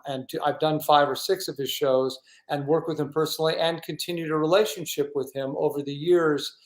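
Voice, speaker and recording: Earnest man hosting, roomy echo. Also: a little high. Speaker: talk show host. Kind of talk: casual interview chat. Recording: roomy, echoing sound.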